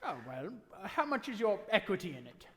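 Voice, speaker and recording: Mean old banker, gloating, theatrical radio play, bright forceful voice, reverberant hall. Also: male voice, high voice, sing-song, moderate pace. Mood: sneering. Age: older. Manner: sneering, theatrical, mocking. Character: gloating, cruel old rich banker villain. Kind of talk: 1940s radio play acting. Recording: reverberant hall, live stage taping.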